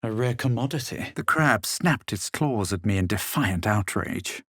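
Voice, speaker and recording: Fantasy game hero: self-assured, brooding spellcaster, husky and expressive, measured theatrical delivery, dry close sound. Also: male voice, lowish voice, no echo. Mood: grave, measured. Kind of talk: fantasy video game voice lines, combat barks and narrated recollections.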